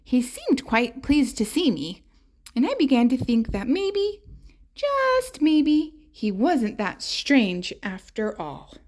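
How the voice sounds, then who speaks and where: A woman voicing an earnest, doting little girl who tells her picture-book adventure in a wide-eyed, expressive way. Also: high-pitched, slow, voice pushed up, full character voice. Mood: wistful, hopeful.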